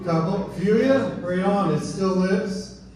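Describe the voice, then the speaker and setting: A middle-aged Canadian man performing self-deprecating stand-up to a live audience in a halting, informal way. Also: high voice, crowd noise. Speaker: stand-up comedian. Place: live comedy venue with audience. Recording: echoey live room, distant mic, audience noise.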